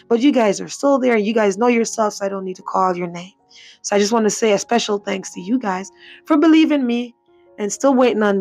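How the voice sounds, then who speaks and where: A woman whose voice is worn hoarse talks through a home craft tutorial in a loose, chatty way. She circles back with "so" and "again" as she explains each step. Faint background music plays beneath her. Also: medium pitch. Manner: chatty, repeats herself, frequent "so" and "again". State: hoarse, voice mostly gone. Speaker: craft channel host. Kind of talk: casual tutorial voice-over.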